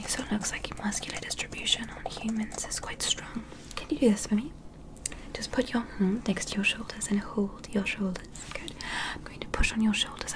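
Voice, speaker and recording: A woman whispering an ASMR roleplay as a gentle, curious alien medic, giving soothing, encouraging step-by-step instructions. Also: medium pitch, poor audio. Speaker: ASMR performer playing a medical examiner.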